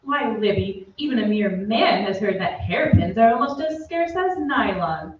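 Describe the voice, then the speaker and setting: An actress playing an earnest, upbeat 1940s radio soap announcer with emphatic sales patter, read on stage. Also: female voice, mid-range voice, animated, normal speed, lightly acted. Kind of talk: 1940s radio commercial re-enactment. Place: theatre stage, away from the mic. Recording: very echoey stage, distant, dull, faint noise.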